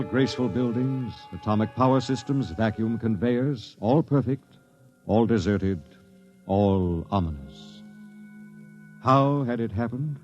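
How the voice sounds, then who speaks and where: An actor playing a grim, doomed space expedition captain in a 1950s radio drama, solemn and stern. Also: male voice, lowish voice, darkish tone, natural voice. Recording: dull vintage radio recording with music.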